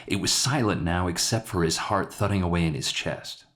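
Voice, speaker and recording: A man's voice: low voice, normal speed, fairly bright tone. A man reading a scripted dramatic short story aloud as its narrator. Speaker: author narrating his own story.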